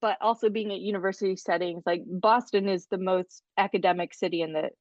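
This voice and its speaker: A woman's voice: mid-range voice, fairly even intonation, fairly quick, dry recording, muffled recording. Chatty woman guest telling stories. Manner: laughs often, storytelling. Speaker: podcast guest, scientist. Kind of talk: casual chat.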